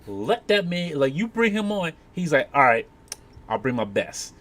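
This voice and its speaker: A man's voice: on the high side, very animated, moderate pace, a bit bright. A man on a casual panel, talking emphatically and acting out imagined remarks as he goes. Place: convention panel room. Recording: live panel with background noise.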